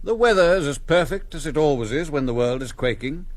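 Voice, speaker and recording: An actor playing a wry, dry-witted gentleman in a radio play. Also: a little high, lively intonation, fairly quick, lightly acted. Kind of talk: radio stage-play acting.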